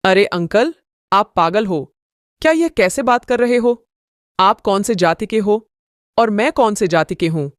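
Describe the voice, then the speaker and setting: A woman's voice: mid-range voice, animated, measured pace, no room sound, studio quality, close to an everyday voice. A woman voicing a naive, helpful young male donkey who talks earnestly in a children's storybook tale. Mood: questioning. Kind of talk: children's moral storybook character voice.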